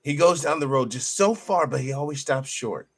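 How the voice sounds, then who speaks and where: A man's voice: a little high, expressive, normal speed, fairly bright tone, breathy edge. A man reacting to a speech clip, giving blunt, critical rebuttals in a casual way. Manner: critical, plain-spoken rebuttals. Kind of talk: casual commentary.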